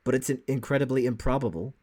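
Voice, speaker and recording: A woman's voice: extremely low pitch, fast, dark tone, husky. A woman giving casual reaction commentary in a dry, wry manner. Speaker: reaction show co-host.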